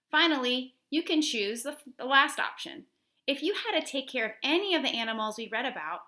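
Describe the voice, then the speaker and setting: A woman teacher reading a nature book aloud to children, enunciating clearly and expressively and putting questions to her young listeners. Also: a little high, pushed and bright. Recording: echoey, roomy sound.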